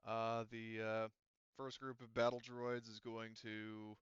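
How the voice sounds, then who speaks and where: A man's voice: lowish voice, level tone, smooth, no room sound. Casual man running a game, slightly dull audio. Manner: casual, halting delivery while working out rules. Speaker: game master. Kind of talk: running an online tabletop roleplaying game, narrating combat and thinking aloud.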